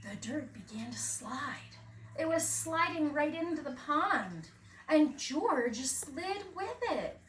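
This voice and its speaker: Very slow, high-pitched woman reading to children. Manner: expressive, dramatic emphasis, asks young listeners questions. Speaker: preschool teacher reading aloud. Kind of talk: children's picture book read-aloud. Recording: roomy, distant mic, faint background noise.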